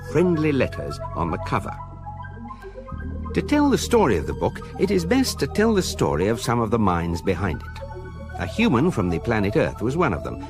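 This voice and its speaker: Over prominent music, a wry British man narrating a galactic guidebook in a radio comedy. His delivery is measured and deadpan, yet swoops widely in pitch for each precise phrase. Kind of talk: scripted comic radio drama narration. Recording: radio production with music behind.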